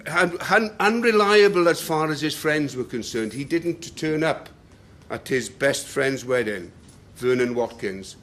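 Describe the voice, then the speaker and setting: A man giving a talk to a local group and reading passages aloud with slow, dramatic pacing. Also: mid-range voice, a bit bright. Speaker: speaker at a talk. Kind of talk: lecture with readings.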